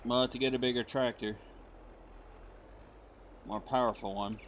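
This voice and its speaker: A middle-aged man from the United States with a hoarse voice still recovering, talking at an average pitch. He rambles in a friendly, unhurried way, full of fillers and restarts, as he plays through a game. Faint noise and game engine sounds sit behind him. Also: steady tone. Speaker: gaming channel host. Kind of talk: casual let's-play commentary.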